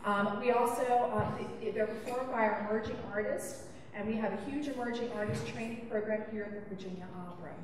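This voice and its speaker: A woman who directs education at an opera company gives a welcome speech to a family audience, pausing often with ums and restarting her sentences. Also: a little high, fairly even intonation, background noise. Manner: hesitant, many ums and restarts. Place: large opera house auditorium. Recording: roomy hall, distant, audible ambience.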